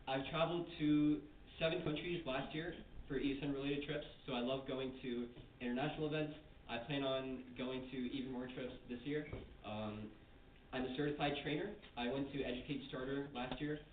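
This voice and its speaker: A man's voice: medium pitch, slight background noise. A young man who grew up in Dubai, introducing himself as chair of a student meeting in an upbeat, joking way. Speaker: conference chair. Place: conference hall. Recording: echoey hall, far from mic, tinny.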